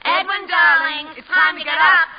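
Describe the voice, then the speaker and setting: An actress playing a blunt, no-nonsense, wisecracking maid in a 1950s radio comedy. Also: female voice, very high-pitched, steady tone, moderate pace. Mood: bellowing. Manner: blunt, matter-of-fact. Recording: dull old radio recording with noise.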